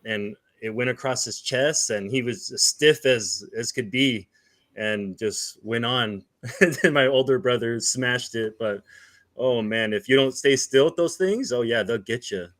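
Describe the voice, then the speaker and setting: A man's voice: mid-range voice, measured pace, a bit bright, no room sound. A man from Hawaii telling stories in a casual chat. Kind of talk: casual storytelling.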